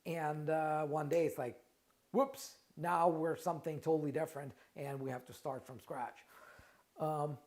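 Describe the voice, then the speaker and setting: A middle-aged man with a Russian accent talks through a live question-and-answer stream, his voice sitting a little high for a man. He speaks conversationally, often restarting and repeating words and checking in with "right?". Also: fairly even intonation, a little slow, a little mellow. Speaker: trademark lawyer and company founder, show host. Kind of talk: live Q&A stream, casual talk.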